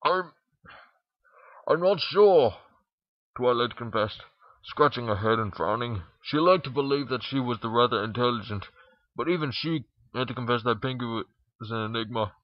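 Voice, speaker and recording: A man's voice: medium pitch, fairly quick, no room sound, dull audio. A man reading a story aloud in an informal amateur narration, stumbling now and then. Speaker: fan fiction reader.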